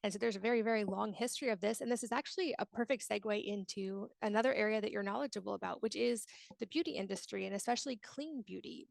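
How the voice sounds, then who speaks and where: A woman's voice: mid-range voice, creaky, dry recording. A woman hosting a podcast, talking in a conversational interview style. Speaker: podcast host.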